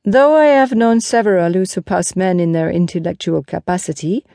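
A woman's voice: lowish voice, warm tone, no echo, clean studio sound, natural voice. Woman acting a patient, reproving horse tamer. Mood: patient, instructive. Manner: measured, instructive, earnest. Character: calm, gently reproving foreign horse tamer, man.

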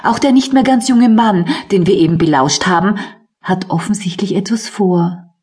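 A woman's voice: mid-range voice, moderate pace, a little mellow, recorded from a distance. A woman giving scripted narration in a radio play, with a wry, ironic tone.

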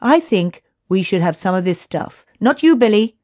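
A woman's voice: medium pitch, expressive, normal speed, a little mellow, no echo, close to an everyday voice. A woman voicing a bossy, sensible young boy who leads his friends in a children's audiobook.

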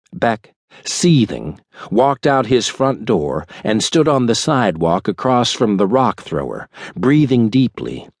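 A man reading a thriller audiobook in a measured, dramatic storytelling manner. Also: lowish voice, no room sound. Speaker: audiobook narrator. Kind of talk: scripted thriller audiobook narration. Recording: dry studio recording.

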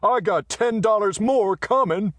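A man voicing a big, red-faced male messenger who shouts belligerently in a western story. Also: high voice, unhurried, no echo, voice pushed up. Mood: gruff.

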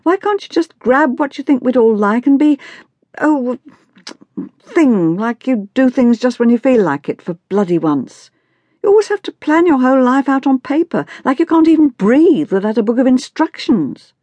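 A woman's voice: a little high, big pitch swings, moderate pace, dark tone, no echo, highs cut off, pitched above the performer's own voice. A woman voicing a sulky, mocking fourteen-year-old girl in audiobook dialogue, with a whiny, sarcastic manner. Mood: exasperated, bored. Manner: whiny, sarcastic teenage complaining.

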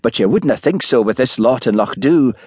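A man voicing a gloomy, huffy village policeman with a sibilant Scottish Highland accent in an audiobook. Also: animated, brisk, a little mellow, husky, no room sound, studio quality. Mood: aggrieved. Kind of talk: audiobook character voice.

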